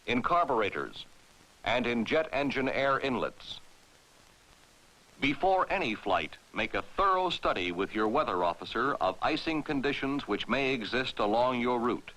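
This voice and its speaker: American man, crisp authoritative narrator, mid-pitched, animated intonation, scripted 1960s military training film, vintage soundtrack. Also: low-quality recording. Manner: authoritative, clearly enunciated, formal.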